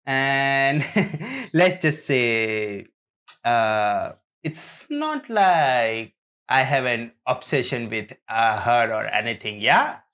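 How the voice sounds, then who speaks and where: A man casually reacting to game trailers, speaking in a hesitant, halting way. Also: medium pitch, very animated, a bit bright. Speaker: reaction video host.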